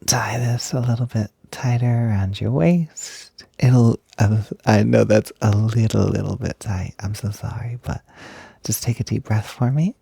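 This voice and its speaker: A man playing an excited, doting, gentle young roommate in an intimate roleplay audio, soft-spoken and breathy, hesitant but gushing and reassuring. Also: on the low side, measured pace, dry recording, full character voice. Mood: gentle, attentive.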